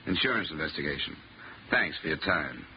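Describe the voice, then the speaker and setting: An actor playing a wry, dogged insurance investigator in 1950s American radio style, speaking in a clipped, dry, hardboiled way. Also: male voice, very deep voice, animated, brisk. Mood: politely probing. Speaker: lead actor and narrator of a radio detective show. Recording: muffled vintage radio recording, music.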